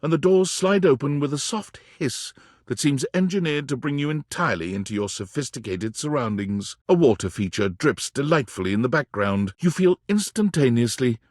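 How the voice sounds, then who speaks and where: An actor playing a suave storyteller, reading polished second-person narration for a video game. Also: male voice, mid-range voice, animated, moderate pace, a little mellow, no echo, studio quality, natural voice. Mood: descriptive, savoring. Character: suave male second-person narrator savoring lush descriptions.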